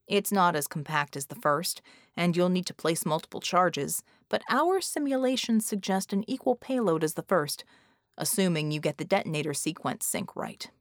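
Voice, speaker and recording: A woman voicing a matter-of-fact weapons technician in an audiobook, in a measured, technical manner. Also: lowish voice, no room sound, studio quality.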